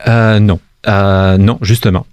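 A man's voice: lowish voice, fairly even intonation, slow, darkish tone, clear, resonant tone, no room sound, highs cut off. Hesitant man. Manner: hesitant, many restarts and fillers, laughs often. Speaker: guest, cultural institute staff. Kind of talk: radio interview.

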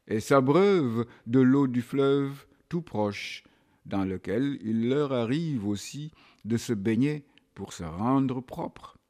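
Un homme lit lentement un extrait de roman, prêtant sa voix à un chien errant libre, bientôt âgé de sept ans, qui raconte sa vie. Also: male voice, mid-range voice, a little mellow. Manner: slow, measured reading. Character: stray male dog narrator, nearly seven, free and untamed. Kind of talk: reading a novel excerpt aloud.

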